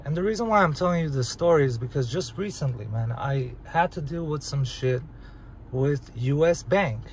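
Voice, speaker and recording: A man's voice: fairly high pitch, expressive, moderate pace. A man in his thirties, born in the USSR and raised in Hollywood, talking bluntly and with plenty of profanity while driving at night. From born in the USSR, raised in Hollywood, California. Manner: blunt, profane, conversational, lots of "man" and "right?". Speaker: vlogger. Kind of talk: casual monologue while driving. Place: inside a car driving at night on city streets. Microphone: phone or camera mounted on the dashboard. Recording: loud car and road noise.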